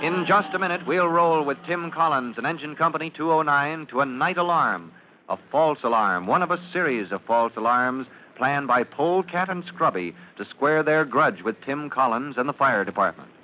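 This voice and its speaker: A man's voice: a little high, animated, normal speed. A man narrating an old radio serial in a dramatic, declamatory announcer's style. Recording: muffled, noisy old radio recording.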